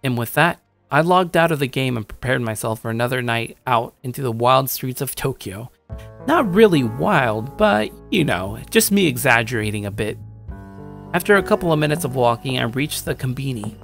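A man's voice: medium pitch, animated, moderate pace, vocal fry, a bit bright. A man playing a shy young gamer loner over music. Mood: wry, casual. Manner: self-deprecating, stammering when talking to others. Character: shy, awkward young male gamer loner narrating his life. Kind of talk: first-person story narration with acted dialogue.

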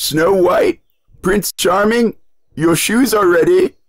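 A man's voice: sits high, sing-song, slow pace, heavily acted. A man playing a kindly, proud old shoemaker in a fairy-tale cartoon, speaking expressively. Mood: bright, proud. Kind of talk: animated fairy-tale dialogue.

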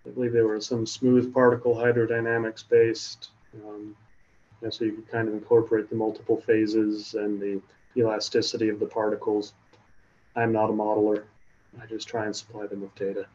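Man, thirties, low flat voice, casual answers, muffled video call. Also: normal speed. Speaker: research scientist, presenter.

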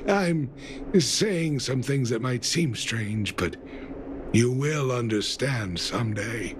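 An actor playing a gentle, doting middle-aged miner father in a video game, gravelly, tender and patient. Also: medium pitch, lively intonation, slow, music in the background. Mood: tender, reassuring. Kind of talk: video game dialogue acting.

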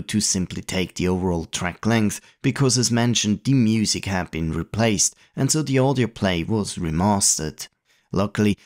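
A man hosting a retro computing channel, giving a calm, methodical tutorial voice-over. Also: fairly low pitch. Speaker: retro computing video host.

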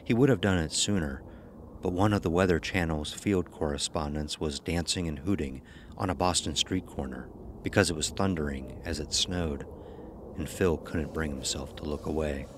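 A man's voice: rumbling low, normal speed, a little hiss or hum. A man giving scripted narration for a horror audio drama.

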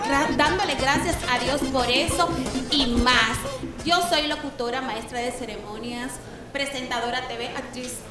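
High-voiced woman presenter over loud music. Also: fairly even intonation, moderate pace, echoey room, crowd noise. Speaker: announcer and TV presenter. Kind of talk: self-introduction on a TV show. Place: indoor restaurant by an aquarium. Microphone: handheld microphone.

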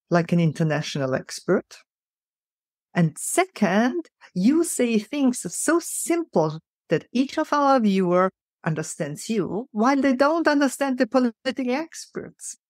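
Woman, middle-aged, mixed European accent, animated storyteller, dry close sound. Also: mid-range voice, dry recording. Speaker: guest, keynote speaker. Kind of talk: storytelling interview answers.

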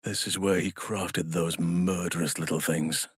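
A man playing a big, gentle, wise druid in a fantasy game, speaking in measured, formal, thoughtful phrases. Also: low voice, level tone, husky, no echo, clean studio sound. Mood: pensive. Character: big gentle male druid, wise, earnest nature lover.

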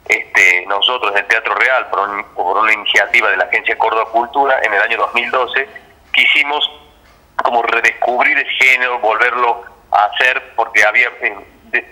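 Argentine male interview guest, lowish pitch, very forceful tone, explaining at length over a phone line. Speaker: interview guest, theatre director. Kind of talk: spontaneous explanatory interview talk.

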